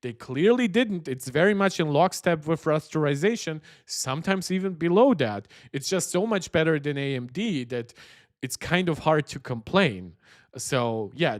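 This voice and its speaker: A man with a slightly high voice hosts a tech livestream. He talks conversationally and gives his opinions straight to viewers. The sound is close and dry. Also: no room sound. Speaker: tech channel host. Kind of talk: livestream chat and reaction commentary.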